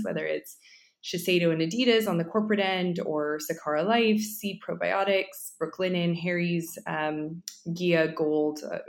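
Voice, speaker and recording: A woman's voice: low-pitched, gravelly. A woman from Western Canada chatting in a friendly way as a podcast guest, with frequent "um"s. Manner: friendly, uses fillers like "um". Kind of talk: casual interview chat.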